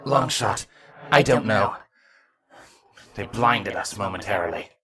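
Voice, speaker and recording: A man voicing an earnest, dutiful male pony guard captain giving a formal, halting report. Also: fairly low pitch, lively intonation, fairly bright tone. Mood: earnest, shaken.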